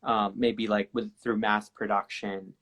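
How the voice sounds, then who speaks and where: A man hosting an art interview, relaxed and appreciative, often repeating words as he gathers his thoughts. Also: lowish voice, measured pace. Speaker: host. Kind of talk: casual interview talk.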